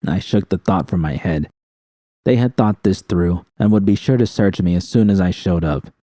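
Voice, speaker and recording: A man reading first-person fiction as an audiobook, with tense, expressive dramatic storytelling. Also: deep voice, normal speed, dry recording.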